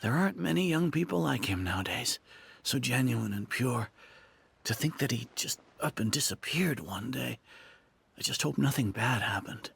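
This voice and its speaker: A man's voice: medium pitch, expressive, normal speed, creaky, a bit bright, slight background noise. An actor voicing a friendly, earnest villager in a video game who worries about a missing young man. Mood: worried.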